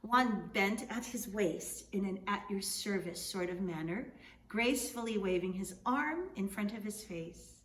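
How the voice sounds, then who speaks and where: Female storyteller, mid-pitched, expressive and deliberate, reading aloud in a roomy space away from the mic. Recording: echoey room, distant mic, faint noise.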